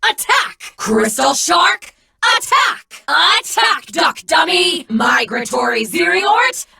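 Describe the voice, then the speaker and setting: A woman playing a proud, icy young warrior in an anime game, with theatrical, imperious and deliberate delivery. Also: very high voice, big pitch swings, gravelly, pushed and bright, shouting, heavily acted. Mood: fierce battle cries. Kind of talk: English anime game voice-over battle lines.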